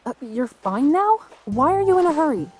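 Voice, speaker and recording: A young woman voice-acting a capable, skeptical bodyguard heroine in a video game cutscene, practical and quick to retort. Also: sits high, very animated, a little mellow. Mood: brisk, questioning. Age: young adult. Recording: music behind the voice.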